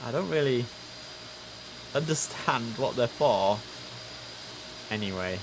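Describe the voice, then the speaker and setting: A British man hosts a casual game stream in an average-pitched voice. He talks informally and addresses his audience directly as he comments on the play. A faint bed of noise and ambience sits behind him. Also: expressive, slow pace. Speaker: livestream host and gamer. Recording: clear background noise and ambience.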